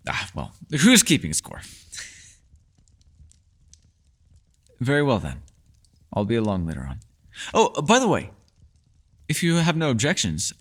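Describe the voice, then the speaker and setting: A man's voice: mid-range voice, breathy edge, heavily acted. A man playing a mysterious, learned elf in a fantasy ASMR roleplay, talking to the listener in a bookish, rambling and playfully formal way. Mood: playful, friendly. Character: mysterious, learned male elf, talkative and gently teasing.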